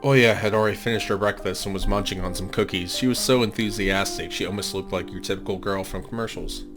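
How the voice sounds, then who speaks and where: A man with a low-pitched voice hosts a game playthrough. He moves between offhand commentary and storytelling narration of on-screen text. Prominent game music plays underneath his voice. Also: fairly even intonation, fast talker. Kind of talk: casual gaming commentary and read-aloud narration.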